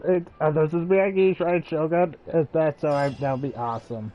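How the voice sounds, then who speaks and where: A man's voice: fairly high pitch, steady tone, moderate pace, lo-fi sound. A man giving casual live gameplay commentary as a streamer, chatting as he plays. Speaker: game streamer. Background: game music.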